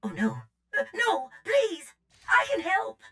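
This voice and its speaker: A woman voicing a damaged medical droid in a video game, anxious, polite and pleading, with halting delivery. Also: sits high, wildly swooping pitch, bright, forceful tone, faint music behind, heavily acted. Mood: panicked.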